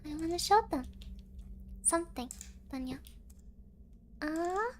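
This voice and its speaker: A young woman chatting casually as a streamer in a cutesy manner, with soft interjections and some Japanese words mixed in. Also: very high voice, expressive, slow pace, slight background noise. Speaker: VTuber co-host. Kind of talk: casual livestream chat.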